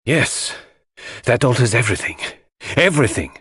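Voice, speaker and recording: A man voicing a fussy, excitable, vain foreign detective who speaks emphatically in an audiobook mystery. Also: mid-range voice, normal speed, slightly rough, dry recording, full character voice. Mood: decisive. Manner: emphatic, repeats words, French phrases sprinkled in.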